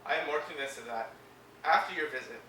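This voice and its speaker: An actor playing a bitter, sarcastic hospital patient, a paralysed sculptor, middle-aged. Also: male voice, mid-range voice, fast, sharp tone, slight background noise, natural voice. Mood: bitter, angry. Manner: sarcastic, biting. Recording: roomy film sound from a distance.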